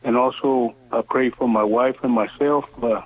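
A man in his seventies calling in to a radio show, speaking earnestly in halting, deliberate phrases over the telephone. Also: mid-range voice, steady tone, breathy edge. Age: 70s. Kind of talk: earnest phone-in testimony and prayer request. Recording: narrow tinny phone line.

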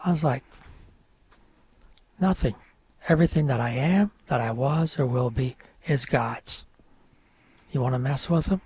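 An older man whose first language is French, telling his life story in a relaxed, rambling interview with many fillers. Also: mid-range voice, measured pace, pauses often, slight background noise. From North Country of New York. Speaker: guest.

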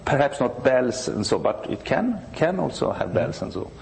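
A Swedish man in his sixties from the north of the country, a church musician being interviewed, speaking halting English and often restarting to search for words. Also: mid-range voice, very animated, gravelly, darkish tone, a little hiss or hum, low-quality recording. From northern Sweden.

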